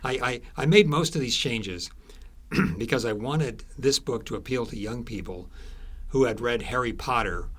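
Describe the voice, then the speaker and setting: Halting, low-voiced man telling stories. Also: a bit bright. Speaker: author being interviewed. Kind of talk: conversational storytelling in an interview.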